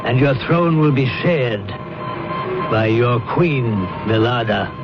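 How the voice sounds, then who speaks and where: A calculating official of a space empire in an old radio play. He has a mid-range voice and a stately, formal manner. The recording is dull, with loud music behind it. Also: mid-range voice, expressive, slow pace. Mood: formal, persuasive. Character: smooth-talking, calculating male official sending a captain off. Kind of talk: old radio drama acting.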